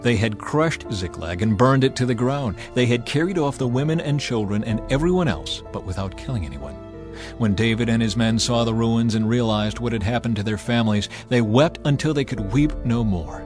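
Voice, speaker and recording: A man narrating scripture in a measured, even reading. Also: mid-range voice, over music.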